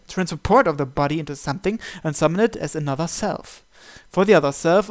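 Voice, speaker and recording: A man with a somewhat high voice reads first-person story narration from a visual novel aloud. He delivers it as the host of a gaming series, working through the script line by line.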